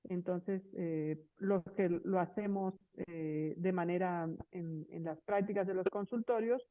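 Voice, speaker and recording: A woman's voice: on the low side, fairly even intonation, measured pace, no echo. A woman doctor from Cuba, explaining a therapy and checking in with the listener. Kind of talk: explaining on a call-in show. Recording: dry, tinny phone line.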